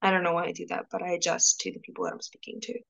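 A woman's voice: on the low side, sing-song, rapid-fire, creaky, fairly bright tone. Chatty young woman on a video call. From South Africa. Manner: chatty, rambling, many fillers and restarts. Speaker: guest. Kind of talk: casual video-call conversation.

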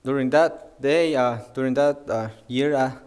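A man's voice: medium pitch, unhurried, darkish tone, smooth. A young man from Guatemala, speaking English as his third language, telling a personal testimony hesitantly at a church pulpit. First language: not English, third language. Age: young adult. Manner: hesitant, with fillers and non-native grammar. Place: church sanctuary, at a wooden pulpit. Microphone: pulpit mic. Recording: long reverb of a church hall.

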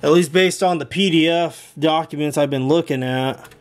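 A man giving a casual walkthrough vlog in an indoor workshop, rambling and halting as he thinks aloud. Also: a little high. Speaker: video creator showing a home intercom and speaker system.

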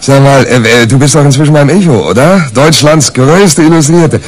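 An actor playing a brash, hungover newspaper reporter in a radio crime drama, colloquial and sardonic. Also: male voice, mid-range voice, normal speed, natural voice. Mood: eager. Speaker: main character and narrator.

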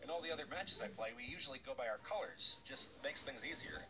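A man's voice: medium pitch, animated, fast, background noise. A man voicing a confused, exasperated newcomer in a comedic cartoon. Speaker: voice actor playing a new player. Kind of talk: comedic animated character voice.

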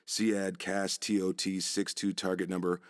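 A man playing a steady, businesslike military radio operator in a video game, giving clipped procedural calls. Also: sits low, unhurried, no echo, clean studio sound. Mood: businesslike, focused. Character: steady, businesslike male US military fire controller.

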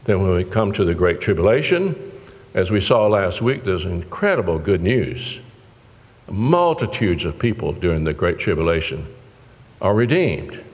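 A man's voice: sits low, expressive, moderate pace. An older man preaching a sermon from a church pulpit, in an earnest, folksy way. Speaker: pastor. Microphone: pulpit microphone. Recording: long church-hall reverb.

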